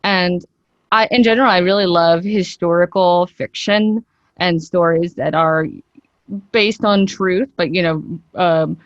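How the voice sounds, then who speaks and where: Woman actress doing an interview, kinda low voice, chatty and into it, dry sound. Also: fairly even intonation, unhurried, dry recording, highs cut off. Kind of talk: conversational interview.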